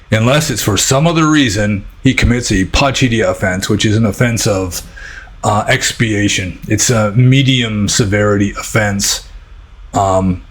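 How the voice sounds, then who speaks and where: A man with an American accent and a husky voice, talking informally and a little ramblingly as a Buddhist teacher answering questions. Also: on the low side. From United States.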